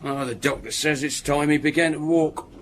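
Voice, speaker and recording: An actor playing a sardonic, hard-drinking village butcher in a radio drama, with a mocking manner. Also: male voice, fairly high pitch, steady tone, moderate pace, fairly bright tone. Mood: sardonic, weary.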